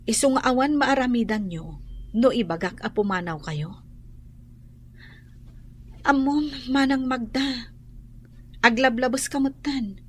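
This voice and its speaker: An actress with an Ilocano accent playing an aggrieved, firm but forbearing woman homeowner in a radio drama, measured and reproachful. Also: on the high side, a little hiss or hum.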